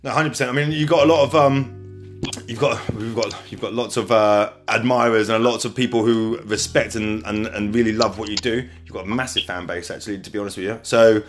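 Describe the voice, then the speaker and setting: A man's voice: lowish voice, fairly even intonation, a little fast, soft background music. A man hosting a podcast interview, agreeable and encouraging, rambling as he talks. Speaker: podcast host. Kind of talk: casual interview chat.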